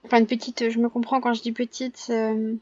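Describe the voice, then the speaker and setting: A young woman with a cold and a hoarse voice, chatting casually over her gameplay with lots of pauses and self-corrections. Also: fairly high pitch, flat delivery, dark tone, no room sound, muffled recording, faint music behind. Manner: hesitant, many filler pauses and self-corrections. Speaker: gaming vlogger.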